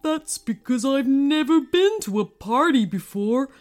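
A woman voicing a big, gentle green monster in a storybook, timid, hesitant and worried. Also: high voice, lively intonation, unhurried, soft background music, pitched above the performer's own voice. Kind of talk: storybook character voice.

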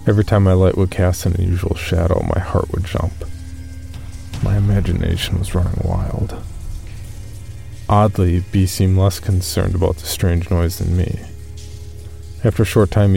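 A man playing an uneasy cave explorer, recounting his expedition in measured first-person horror narration. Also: low voice, gravelly, warm tone. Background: music.

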